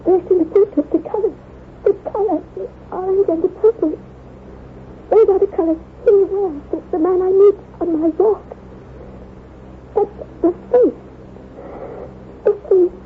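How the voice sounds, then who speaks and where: High-voiced haunted young bride. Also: female voice, measured pace, long pauses. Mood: terrified, tearful. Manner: formal, intense, dramatic. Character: fragile, melancholy young noblewoman bride, haunted and fearful. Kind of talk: old-time radio drama acting. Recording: noisy, narrow-band vintage radio broadcast.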